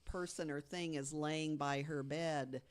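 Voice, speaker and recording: A woman on a podcast, casually telling an urban legend, with lots of "you know" and hushed tones for the scary moments. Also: sits low, fairly even intonation, unhurried. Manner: chatty storyteller, fills with "you know", drops to hushed tones for the scary parts. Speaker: podcast co-host. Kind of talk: casual storytelling chat.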